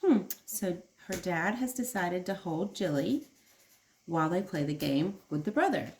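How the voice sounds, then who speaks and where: A woman preschool teacher giving an expressive read-aloud for young children, adding simple explanations and questions, with emphasis on key words. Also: lowish voice, unhurried, slight background noise.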